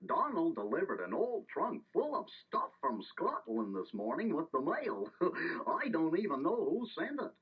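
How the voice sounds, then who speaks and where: Dopey, wildly swooping cartoon dog. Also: male voice, on the high side, sing-song, breathy edge, full character voice. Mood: naive, puzzled. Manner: slow, naive, bumbling. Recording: muffled 1980s story tape, faint music.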